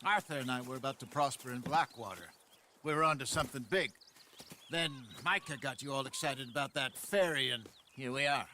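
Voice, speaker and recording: An actor playing an older outlaw in a video game, wary and plain-spoken, with a folksy, cautioning manner. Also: male voice, mid-range voice, brisk, bright, forceful tone, slight background noise, natural voice. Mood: reproachful. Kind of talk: video game cutscene acting.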